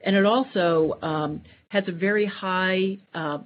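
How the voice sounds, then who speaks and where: A woman speaking as a finance executive on an earnings call, explaining in a measured way. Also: mid-range voice. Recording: dull conference call audio.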